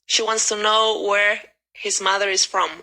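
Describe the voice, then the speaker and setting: A woman's voice: mid-range voice, level tone, moderate pace, bright, forceful tone, low-quality recording. A woman student, a native Spanish speaker, carefully repeating English sentences.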